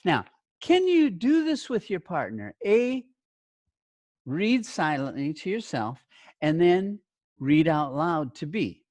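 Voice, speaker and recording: Lively, varied-pitch teacher giving slow instructions. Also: no room sound. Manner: clear simple explanations with short instructions and check questions. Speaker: teacher training English language teachers. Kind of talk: instructional lesson with step-by-step activity directions.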